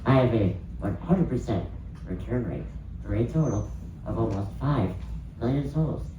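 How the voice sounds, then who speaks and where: A man's voice: mid-range voice, lively intonation, moderate pace, heavy reverb, recorded from a distance, muffled recording, background noise, lightly acted. A man playing a bureaucratic Grim Reaper who is proud of his record, at a comedy table read. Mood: officious pride.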